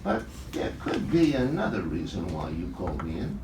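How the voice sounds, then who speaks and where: A man's voice: low-pitched, big pitch swings, moderate pace. A man playing a wisecracking, pushy private eye in a noir radio play, with slangy tough-guy delivery. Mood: wry. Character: wisecracking hard-boiled male private eye, flirty and pushy. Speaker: actor. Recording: echoey, noisy, distant rehearsal recording.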